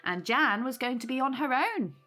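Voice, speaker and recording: A woman's voice: high voice, expressive, moderate pace, sharp tone, faint music behind. An actress playing a hospitable young British mother in an audio drama, polite, apologetic and reassuring. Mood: friendly, apologetic. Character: Taylor, friendly hospitable young mother hosting Christmas. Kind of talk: naturalistic audio drama acting.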